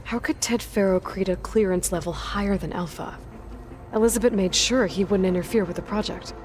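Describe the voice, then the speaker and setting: A woman's voice: mid-range voice, brisk, darkish tone, natural voice. A young woman playing a determined, guarded hunter in a video game, speaking in an earnest, matter-of-fact way. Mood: inquisitive. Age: young adult. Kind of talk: naturalistic video game acting. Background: background music.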